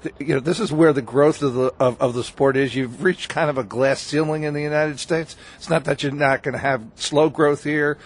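A man's voice: fairly high pitch, fairly even intonation, moderate pace, hardly any pauses. A man, a baseball writer, chatting as a guest on a radio show, rambling with many restarts and fillers. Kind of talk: casual radio interview chat.

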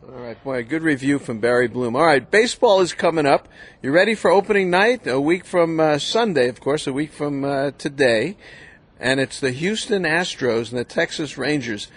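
A man with a slightly high-pitched voice hosting a sports radio show. He keeps his lines short and brisk, throwing in quick questions and wrapping up segments. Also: expressive. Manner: brisk, short prompts and wrap-ups. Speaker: radio talk show host.

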